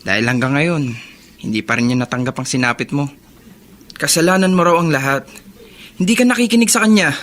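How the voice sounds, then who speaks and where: A man's voice: on the high side, fairly quick, pauses often, fairly bright tone, natural voice. A man playing an earnest, scolding older brother of a prisoner in a Filipino radio drama. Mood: scolding, concerned.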